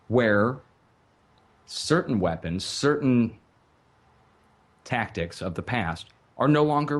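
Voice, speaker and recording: A man hosting a call-in show, talking earnestly and emphatically, asking rhetorical questions. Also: on the low side. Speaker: radio show host.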